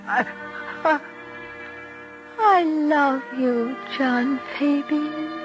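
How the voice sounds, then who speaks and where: Tiny, piercingly high puppet girl. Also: drawn-out words, rough recording. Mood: halting, tender. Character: carved female puppet come to life, delicate, plaintive, truth-telling. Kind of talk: 1940s-50s radio drama acting. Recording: old radio broadcast, music behind.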